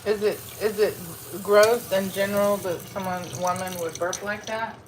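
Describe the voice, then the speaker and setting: A Texan woman chats loosely and frankly as she cooks breakfast on a live stream. She sits well back from the mic in a roomy kitchen, with a steady noisy hum behind her. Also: mid-range voice, moderate pace, recorded from a distance. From Texas. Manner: rambling and frank, talks while eating and cooking. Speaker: food vlogger. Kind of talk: casual live-stream chat while cooking.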